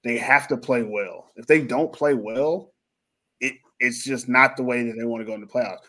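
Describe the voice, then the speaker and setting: A man's voice: medium pitch, normal speed, fairly bright tone. A man hosting a sports podcast, chatting casually in a rambling, jokey, self-mocking way. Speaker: podcast host. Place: lives in Finland.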